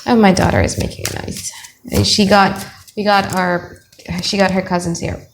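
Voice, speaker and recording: A woman's voice: mid-range voice, fairly even intonation, normal speed, gravelly. Indonesian lady reading tarot cards, chatty and blunt, faint noise in the room behind. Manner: chatty, blunt, thinks aloud while laying out cards. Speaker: tarot reader. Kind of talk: casual tarot reading to camera. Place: home room, children heard nearby.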